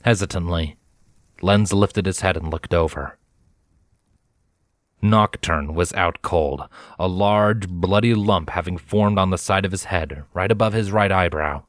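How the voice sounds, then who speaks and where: A man reading a story as dramatic scripted narration, in a measured, solemn manner. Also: deep voice, no room sound, clean studio sound. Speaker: fan fiction reader, narrator. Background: background music.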